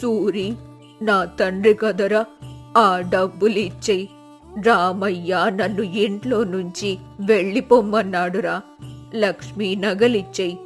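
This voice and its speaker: A woman's voice: mid-range voice, fairly even intonation, takes it slowly, faint music behind, lightly acted. A woman voicing a pleading, doting old village grandmother in a moral story. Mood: begging.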